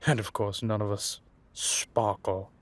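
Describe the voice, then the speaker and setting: A man playing a world-weary, wry and menacing vampire intelligence operative in an audio roleplay, confiding in a measured, drawn-out way. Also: fairly low pitch, little pitch movement, close to an everyday voice. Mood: wry, amused. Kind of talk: roleplay audio drama monologue addressed to a listener.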